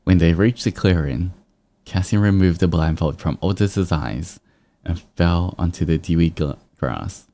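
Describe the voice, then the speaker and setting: A man hosting a livestream, moving between expressive story reading and casual, amused chat. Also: sits low, a little slow. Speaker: livestream host reading fan fiction aloud.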